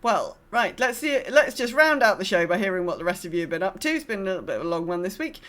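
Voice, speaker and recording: A woman's voice: mid-range voice, sing-song, fast talker, fairly bright tone. A woman co-hosting a gaming podcast in casual chat, rambling and often correcting herself mid-sentence. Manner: rambling, self-correcting, quotes herself in asides. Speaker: podcast co-host.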